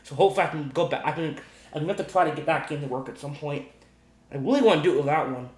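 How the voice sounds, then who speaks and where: A man with a somewhat high-pitched voice hosts a casual, unscripted gaming explainer. He speaks haltingly, restarting and repeating himself as he works through each point. The room around his voice is noticeably echoey. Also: darkish tone. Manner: halting, repetitive, circles back over points. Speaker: gaming video host.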